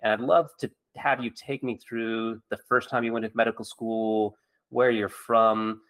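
A man's voice: fairly low pitch, flat delivery, moderate pace, fairly bright tone. A man hosting a podcast interview, a surgeon with a friendly, encouraging and jokey manner. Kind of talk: conversational interview.

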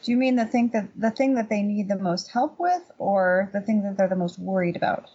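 A middle-aged woman chatting casually as an interview guest and painting teacher, telling anecdotes in an animated, emphatic way. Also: medium pitch, brisk, breathy edge, highs cut off.

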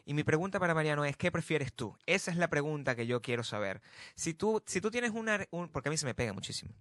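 Forceful animated man. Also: fairly high pitch, quick pace, no room sound. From Venezuela. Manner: animated, jokey, repeats phrases for emphasis. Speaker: musician and actor. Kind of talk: casual group chat.

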